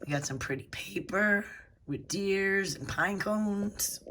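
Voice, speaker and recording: A woman with a hoarse voice from a cold, hosting a casual unboxing video and gushing softly over pretty items. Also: medium pitch, slow, slight background noise. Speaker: unboxing channel host.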